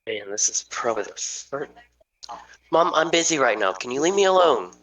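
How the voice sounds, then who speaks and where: A man's voice: medium pitch, big pitch swings, normal speed, crowd noise. A man chatting casually among fellow readers at an amateur table read. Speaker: voice cast member. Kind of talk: casual chat. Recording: distant from the mic.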